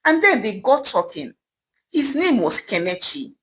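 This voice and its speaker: A woman's voice: fairly high pitch, big pitch swings, measured pace, pushed and bright, slight background noise. A woman storyteller narrating a scripted folktale in a dramatic, emphatic manner.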